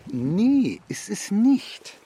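A man's voice: high-pitched, sing-song, drawn-out words, warm tone, a little hiss or hum, exaggerated voice. An actor playing a timid, hesitant foster father who stammers and evades in a children's radio play. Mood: pleased, explaining.

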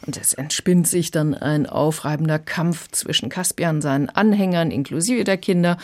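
A woman's voice: low-pitched, normal speed, darkish tone. A woman speaking as an audiobook reviewer, explaining in a radio conversation.

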